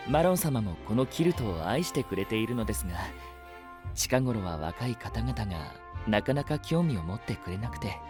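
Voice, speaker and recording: A man playing a courteous, composed young knight who hosts a council in an anime audio drama, speaking gently and formally. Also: fairly low pitch, close to an everyday voice.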